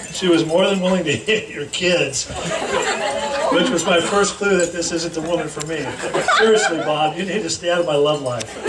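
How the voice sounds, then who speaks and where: A man reading a comedic roast speech aloud to a gathering, teasing with deliberate pauses. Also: on the high side. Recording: echoey room, distant mic, noisy background.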